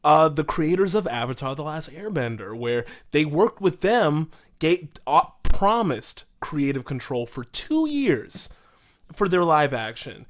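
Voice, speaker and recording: A man co-hosting a podcast, discussing things casually in an animated way and acting out imagined quotes. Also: fairly high pitch, measured pace. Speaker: podcast co-host.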